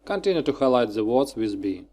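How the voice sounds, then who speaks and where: A man narrating a scripted software tutorial, giving short, clipped step-by-step instructions. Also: medium pitch, sing-song, brisk.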